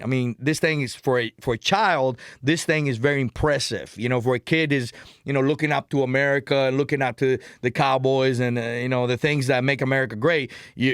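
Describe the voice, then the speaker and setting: A middle-aged man from Cuba with a Cuban Spanish accent, telling stories in a podcast interview in an emphatic, animated way, repeating words and calling the host "man" and "brother.". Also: medium pitch, no echo, clean studio sound. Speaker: interview guest.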